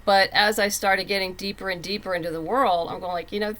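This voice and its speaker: A woman's voice: medium pitch, steady tone, brisk, fairly bright tone, low-quality recording. A woman talking conversationally as a writer being interviewed, telling stories and acting out quotes as she goes. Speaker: comic book writer and guest.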